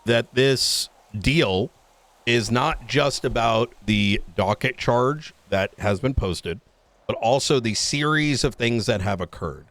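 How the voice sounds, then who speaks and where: A man's voice: mid-range voice, takes it slowly, fairly bright tone, no echo. A man playing a blunt, foul-mouthed police chief in an improvised roleplay argument, talking casually and argumentatively. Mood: firm, insistent.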